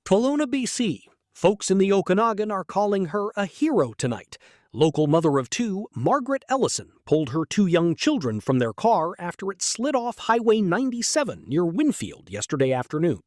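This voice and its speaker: A man plays an earnest small-town news anchor. His high voice moves in lively swings as he reads a bulletin, close and dry with no room sound. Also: moderate pace, dry recording.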